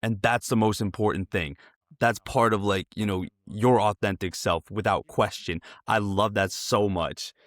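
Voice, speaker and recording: A man's voice: on the low side, steady tone, measured pace, no echo, studio quality. A man hosting a casual interview, talking in a wordy, affirming, conversational way. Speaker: interviewer, podcast host.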